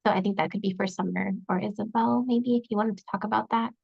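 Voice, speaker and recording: A woman moderating a panel on a video call, briskly reading out audience questions. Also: mid-range voice, steady tone, creaky, slightly rough, dry recording, soft background music. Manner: brisk, reads questions aloud. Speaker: event moderator. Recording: dull, dry sound.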